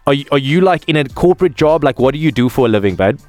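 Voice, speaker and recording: A man's voice: mid-range voice, lively intonation, fast, a little mellow, no room sound, soft background music. Guy hosting a radio morning show, friendly chatty, dry studio mic. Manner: friendly, chatty, lots of fillers. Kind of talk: upbeat radio call-in banter.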